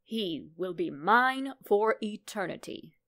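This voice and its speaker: A woman's voice: medium pitch, lively intonation, slow, fairly bright tone. A woman voicing a menacing male boogeyman with an ominous, formal way of speaking, in a horror story. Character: menacing male boogeyman claiming disobedient children. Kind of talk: horror story character reading.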